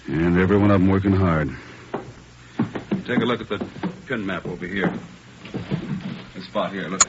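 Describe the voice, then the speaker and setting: A police captain played by a man speaks low and brusquely on a muffled, faintly noisy old radio recording. He is gruff and demanding, a boss pressing for results. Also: moderate pace, natural voice. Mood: grimly insistent. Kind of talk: vintage radio drama acting.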